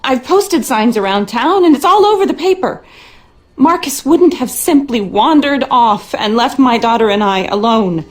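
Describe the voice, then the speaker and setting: High, animated actress as a suspicious, distraught wife. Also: female voice, moderate pace, natural voice. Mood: indignant, worried. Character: distraught, suspicious, demanding wife of a missing man. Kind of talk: video game character acting.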